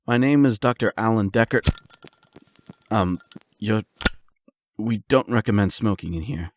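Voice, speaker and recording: A man acting as a calm, patient doctor in an audio roleplay, speaking in a formal, measured and clinical manner. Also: lowish voice, a little hiss or hum. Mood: courteous, professional. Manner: measured, polite, explanatory.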